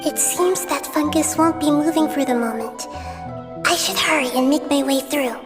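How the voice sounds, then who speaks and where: An actor voicing a gentle, thoughtful childlike girl in a video game, speaking in a measured, articulate way. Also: high-pitched, lightly acted. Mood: cautious. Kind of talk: video game character acting. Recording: music behind the voice.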